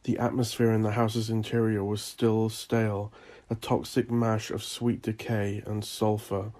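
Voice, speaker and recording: A man reading dark fantasy horror as a scripted story, with a measured, deliberate delivery. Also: fairly low pitch, flat delivery, a little hiss or hum. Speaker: audiobook narrator.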